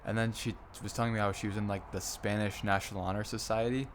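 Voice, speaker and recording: Low-voiced male co-host, rambling. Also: flat delivery, fast talker, a little hiss or hum. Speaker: podcast co-host. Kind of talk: casual chat.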